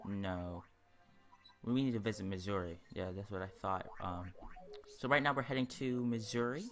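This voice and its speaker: A man's voice: fairly low pitch, normal speed, a bit bright. A man giving casual let's play commentary on a video game, in a hesitant, rambling, stop-and-start way. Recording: game music behind the voice. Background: video game music.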